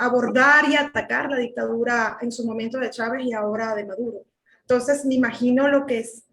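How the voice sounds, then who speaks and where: Indignant Venezuelan woman, high voice. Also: a bit bright, reverberant. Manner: indignant, emphatic, repeats words when worked up. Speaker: political commentator. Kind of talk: heated political commentary.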